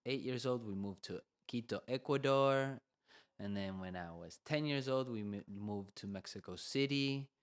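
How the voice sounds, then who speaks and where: A young Argentine man co-hosting a podcast, telling his story in a loose, rambling way full of "you know" fillers. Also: fairly low pitch. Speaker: podcast co-host. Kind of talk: casual conversational storytelling.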